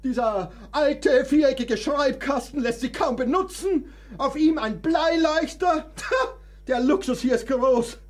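A man's voice: very high voice, takes it slowly. An actor in a radio play voicing a sickly male composer who complains with bitter, theatrical sarcasm. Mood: wry.

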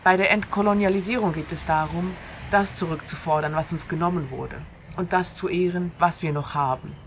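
A woman presents an informal radio monologue in a fairly low voice. She speaks conversationally and straight to the listener, with clearly audible ambient noise coming in through an open window. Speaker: radio show host. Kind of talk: informal monologue on free radio, with read-out passages.

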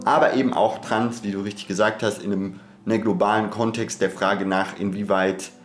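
A man with a fairly low voice talks unscripted in a podcast discussion. His phrasing is academic and wordy, full of digressions and self-interruptions. The room echoes clearly around him, with music behind the voice. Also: fairly even intonation, normal speed. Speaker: podcast speaker.

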